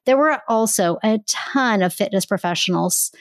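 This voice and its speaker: Woman, mid pitch, lively explaining, dry room sound. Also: moderate pace, dry recording. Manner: enthusiastic, explanatory. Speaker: podcast guest and business coach. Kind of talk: casual interview conversation.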